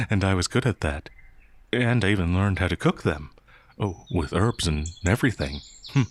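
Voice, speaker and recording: A man playing a gentle, naive young peasant werewolf, hesitant and easily flustered, in a fantasy audio roleplay. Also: deep voice, lively intonation, moderate pace. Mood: shy, gentle.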